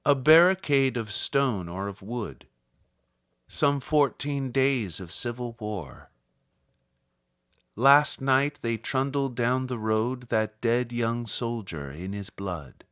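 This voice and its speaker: A man reciting literary poetry in a measured, solemn manner with deliberate phrasing. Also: medium pitch, expressive, fairly bright tone, clear, resonant tone, no echo, studio quality. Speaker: poetry reader.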